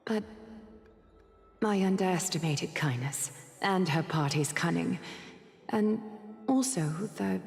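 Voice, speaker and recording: Dignified, lower-voiced regal woman. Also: gravelly, darkish tone, big-room sound, faint music behind. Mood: regretful, grave. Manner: formal, measured, solemn. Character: dignified regal female mentor and leader, weary yet composed. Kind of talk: scripted game voice acting, reading a letter.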